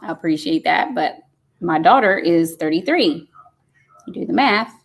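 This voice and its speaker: A woman's voice: fairly low pitch, expressive, a little slow, creaky. A woman, hosting a casual live stream and chatting folksily as she reads comments aloud. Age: 50s. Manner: chatty and folksy, says y'all.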